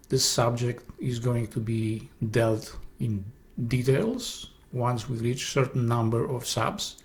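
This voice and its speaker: A man speaks English with a Polish accent, Polish being his first language. He hosts an esoteric presentation in an earnest, halting way, often restarting his sentences. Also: mid-range voice, gravelly, a little mellow. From Poland. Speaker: host.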